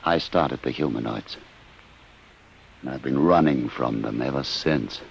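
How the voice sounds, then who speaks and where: A grave, weary inventor in an old radio play, extremely deep and gravelly. He speaks formally and deliberately, heavy with remorse. The recording is muffled with faint hiss. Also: heavily acted. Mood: guarded, confessing. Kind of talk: 1950s radio drama acting.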